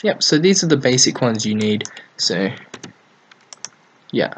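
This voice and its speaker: Slow-paced man giving an offhand tutorial. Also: mid-range voice, sing-song, slight background noise. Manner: offhand, thinking aloud. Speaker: tutorial host. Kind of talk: casual screen-recorded software tutorial. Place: at a computer desk, screen recording.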